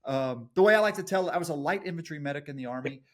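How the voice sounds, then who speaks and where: A man's voice: on the high side, lively intonation, rapid-fire. A middle-aged man with an East Texas accent, a guest telling his life story in a jokey, self-deprecating way. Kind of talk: casual storytelling interview.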